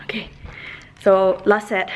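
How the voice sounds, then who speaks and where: A woman's voice: medium pitch, level tone, slow, slight background noise. A young woman, a fitness vlogger, chatting casually to camera in a large indoor gym studio, tired after her workout. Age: young adult. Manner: chatty, loose, with frequent fillers.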